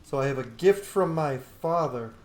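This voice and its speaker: A man's voice: medium pitch, expressive, moderate pace. A man hosting a gaming video, giving casual playthrough commentary and role-playing along. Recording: roomy sound with faint game music. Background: game music.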